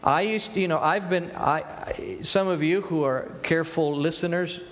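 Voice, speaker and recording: Casting: Argentine-accented male preacher, fifties, slightly raised pitch, earnest, answers his own rhetorical questions, long hall reverb. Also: normal speed, a bit bright. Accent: Argentine Spanish. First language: Spanish. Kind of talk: sermon.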